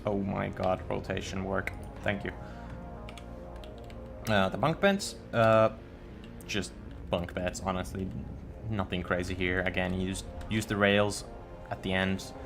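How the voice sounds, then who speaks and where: A man's voice: low-pitched, fairly even intonation, a little slow, vocal fry, long pauses, music in the background. A young man from Finland with a Finnish accent, chatting casually on a game live stream and stumbling over his words. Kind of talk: casual live-stream chat while building.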